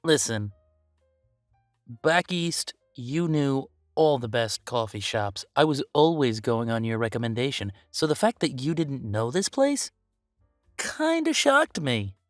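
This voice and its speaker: A man's voice: mid-range voice, sing-song, unhurried, dry recording, clean studio sound, faint music behind. A man playing a playful, easygoing young werewolf boyfriend in a casual roleplay audio, chatting in a teasing, emphatic way. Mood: warm, chatty.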